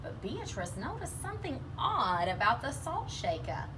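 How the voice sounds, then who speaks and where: A woman's voice: on the high side, sing-song, normal speed. A woman co-host reading a children's picture book aloud, with casual chat and short agreeing asides. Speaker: storybook reader, co-host. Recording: distant microphone, noisy room.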